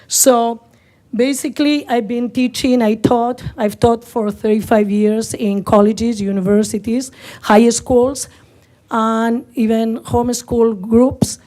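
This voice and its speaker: A woman in her sixties from northern Spain, with a Spanish accent and Spanish as her first language, giving a halting public comment at a podium microphone. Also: a little high, mellow. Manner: halting, searching for words, many fillers.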